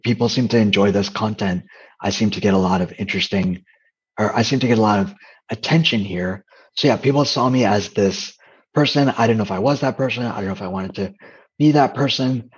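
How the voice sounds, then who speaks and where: A soft-spoken man, a dating coach and comedian, giving reflective interview answers and often restarting his sentences. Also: on the low side, a little fast, slightly rough, muffled recording. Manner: hesitant, with frequent restarts.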